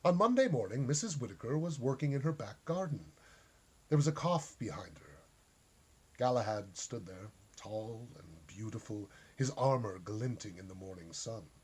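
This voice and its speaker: Man narrating a story with lively pitch. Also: moderate pace, slightly rough. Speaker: story reader. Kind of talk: expressive scripted storybook narration.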